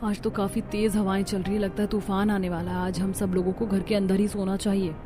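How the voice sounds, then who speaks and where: A woman's voice: medium pitch, flat delivery, brisk, background noise, lightly acted. A woman voicing a put-upon, complaining young daughter-in-law in a cartoon story. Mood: worried. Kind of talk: Hindi cartoon story voice acting.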